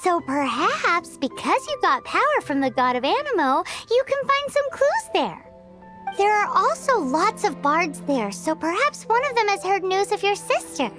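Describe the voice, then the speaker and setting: A chirpy little fairy guide, squeaky and extremely high. Her delivery swings up and down in a cartoonish game style, eager and helpful, with faint music behind. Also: fairly bright tone, full character voice. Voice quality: squeaky, childlike. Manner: perky, refers to herself in third person. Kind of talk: cartoonish video game voice acting.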